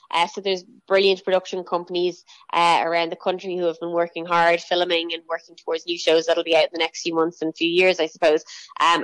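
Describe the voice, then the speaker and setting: A woman's voice: lowish voice, fairly bright tone, clean tone, no echo. A young Irish woman, a children's TV presenter, talking as a guest in a radio interview, chatty with many fillers and restarts. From Ireland.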